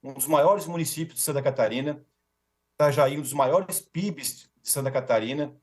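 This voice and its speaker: A man's voice: fairly high pitch, normal speed. A Brazilian man speaking as a defense lawyer in a formal, rhetorical speech with emphatic repetition and ceremonious forms of address.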